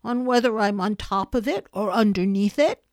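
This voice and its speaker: A woman voicing a modest, slow-witted toy bear in a children's storybook, speaking earnestly and hesitantly. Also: medium pitch, dry recording, studio quality, natural voice. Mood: earnestly explaining. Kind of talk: children's storybook character reading.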